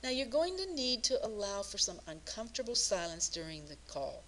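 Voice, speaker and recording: A woman narrates a scripted career lesson as a coach and presenter. Her pitch sits in the middle range for a woman. She speaks in a measured, instructive way, like someone walking listeners through prepared points. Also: sing-song, a bit bright, a little hiss or hum.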